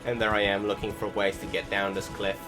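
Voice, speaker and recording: Male game commentator, lower register, casual and meandering delivery with hesitations, clear music bed underneath. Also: completely flat delivery, fairly quick. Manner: rambling, hesitant, swears casually. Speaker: gaming video commentator. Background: game music behind the voice.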